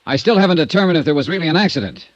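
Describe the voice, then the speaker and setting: A man's voice: a little high, sing-song, very fast, no room sound, lo-fi sound. An actor playing a confident, dry male insurance investigator who also narrates, in a 1950s radio drama. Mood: probing. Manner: dry, probing, understated.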